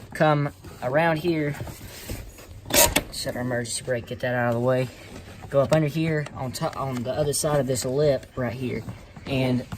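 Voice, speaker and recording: A plainspoken, folksy man giving a casual hands-on tutorial, talking hesitantly in short phrases with pauses. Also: mid-range voice. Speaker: how-to video presenter. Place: inside a parked car. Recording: noisy amateur recording with clicks.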